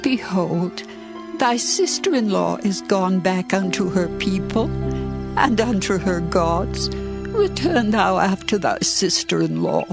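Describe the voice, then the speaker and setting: Woman acting slow, sorrowful older mother-in-law. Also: mid-range voice, vocal fry, over music. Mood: gently urging. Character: grieving older Hebrew mother-in-law, tender but bitter. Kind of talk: dramatized scripture reading with archaic wording.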